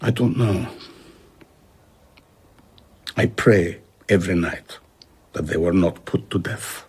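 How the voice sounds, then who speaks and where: An actor playing a haunted, wistful older former traveller, speaking in a husky voice and reflective, earnest manner in an audio drama. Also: male voice, fairly low pitch, exaggerated voice. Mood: sorrowful. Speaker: narrator and central character. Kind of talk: audio drama narration and dialogue.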